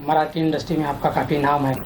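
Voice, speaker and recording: A man's voice: a little high, level tone, fast talker, a little mellow, a little hiss or hum, low-quality recording. A man hosting an interview, asking questions and humming agreement often. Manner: frequent short backchannel hums. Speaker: interview host.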